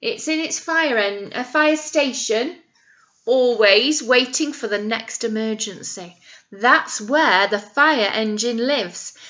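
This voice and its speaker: Female nursery teacher, storytime read-aloud. Slow, very bright delivery, teacherly and expressive, on a slightly dull lo-fi recording. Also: a little high. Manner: expressive teacherly, addressing children with questions and emphasised key words. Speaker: nursery teacher reading to children. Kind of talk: picture-book read-aloud with simple explanations for young children.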